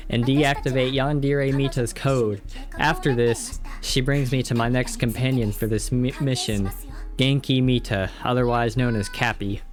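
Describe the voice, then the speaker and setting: A man with a mid-pitched voice recaps a video game as a reviewer. His narration is scripted but casual. He leans on tongue-in-cheek exaggeration as he tells it.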